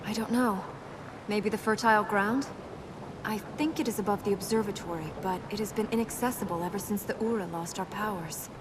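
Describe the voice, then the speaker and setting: An actress playing a serious, resolute young princess in a video game, speaking in an earnest, terse and dryly reproving way. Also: female voice, mid-range voice, brisk, noisy background, lightly acted. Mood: earnest, guarded. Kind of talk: video game cutscene voice acting. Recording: loud music behind the dialogue. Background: loud game score.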